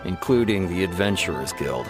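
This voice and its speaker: An actor playing an earnest, exasperated law officer in a fantasy video game, speaking in a formal, explanatory way. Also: male voice, low-pitched, animated, normal speed, music in the background. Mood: earnest, matter-of-fact. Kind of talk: video game dialogue acting.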